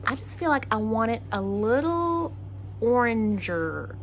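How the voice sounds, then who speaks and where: Woman, craft host, casual tutorial, thinking out loud, faint background noise. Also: a little high, sing-song, measured pace. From Texas. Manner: chatty, thinking aloud with fillers.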